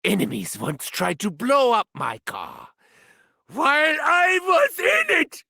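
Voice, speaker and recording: Mad german scientist game villain, bright sharp voice, slow grandiose delivery, mocking and gleefully cruel. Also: male voice, very high voice, wildly swooping pitch, gravelly, breathy edge, dry recording, studio quality, heavily acted. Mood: manic, gleeful. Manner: theatrical, grandiose, archly formal, mocking. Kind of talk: video game character voice lines.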